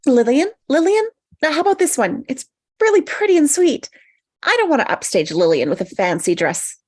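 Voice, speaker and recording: A woman's voice: high voice, big pitch swings, normal speed, darkish tone. A woman playing an eager, anxious maid of honour, earnest and a little hesitant, in a comedy table read. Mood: anxious, hopeful.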